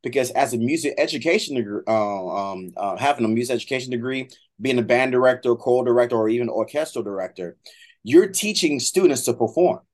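A man's voice: mid-range voice, expressive, brisk, a little mellow. A middle-aged man with an American accent talking conversationally as an interview guest, often repeating and rephrasing as he goes. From Miami, United States. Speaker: guest, trombone professor.

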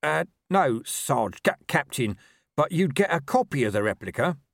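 A man narrating puts on a plodding police constable from a comic novel. He is dutiful and deferential, a bit hesitant. The voice sits higher, with animated intonation, in a dry close recording. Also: moderate pace, vocal fry, dry recording, exaggerated voice. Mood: earnest, deferential.

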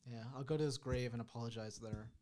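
A young man guesting on a podcast, joking in a casual chat and talking in a flat, even way. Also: medium pitch, fast talker. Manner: joking, talks of his own monotone voice.

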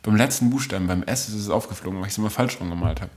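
A man's voice: fairly low pitch, very fast, a little mellow. A man hosting a casual food podcast, joking along in colloquial, playful banter. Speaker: podcast host.

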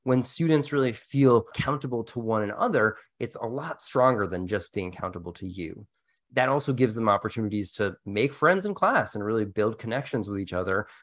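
A man's voice: on the low side, a bit bright. A man speaking as a podcast co-host in an informal, conversational discussion, explaining things with casual asides.

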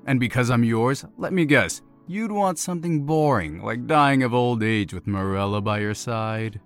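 A man's voice: medium pitch, expressive, normal speed, faint music behind, close to an everyday voice. An actor playing a cocky, flippant young womanizer in an audio drama, teasing and sarcastic. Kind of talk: naturalistic audio drama acting.

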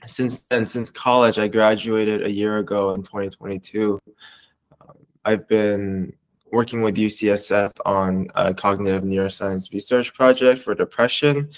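A man in his twenties with an American accent, answering interview questions casually in a hesitant, halting way with many pauses. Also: lowish voice. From Southern California, United States. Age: 20s. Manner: hesitant, halting, pausing often between short phrases. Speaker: interviewee, community advocate.

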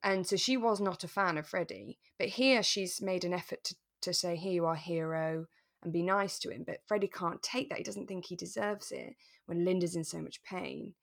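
A woman co-hosting a podcast, talking through a radio drama in a relaxed, thoughtful, explanatory way. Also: fairly low pitch, normal speed, vocal fry. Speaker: podcast co-host.

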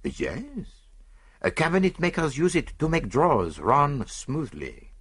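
A man's voice: a little high, huge pitch swings, slow, fairly bright tone, breathy edge, pitched above the performer's own voice, full character voice. A man voicing a vain, fussy middle-aged Belgian detective in an audiobook, with a French-speaking Belgian accent and precise, theatrical delivery. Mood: playful, teasing. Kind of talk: audiobook character dialogue.

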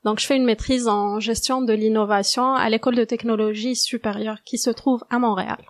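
A woman's voice: on the high side, steady tone, brisk, dry recording. A woman of twenty-seven, answering questions conversationally as a podcast guest. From Algeria. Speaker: podcast guest, international student.

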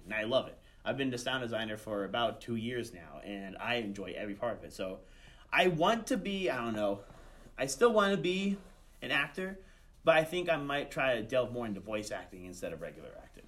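A young adult man talking casually as an interview guest, often restarting his phrases in a hesitant way. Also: mid-range voice. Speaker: student director and voice actor, interview guest.

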